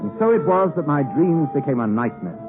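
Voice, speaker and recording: An actor playing an earnest, proper young gentleman in a 1950s radio drama, speaking formally and dramatically. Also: fairly high pitch, big pitch swings, brisk, over loud music. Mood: brooding. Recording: muffled narrowband 1950s radio broadcast recording.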